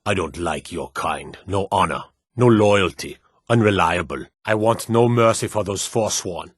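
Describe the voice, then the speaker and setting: An actor playing a stern, dutiful fantasy lord and soldier in a video game, speaking in a formal, measured, authoritative way. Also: male voice, deep voice, husky, dry recording, studio quality. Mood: grave, stern. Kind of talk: video game character dialogue.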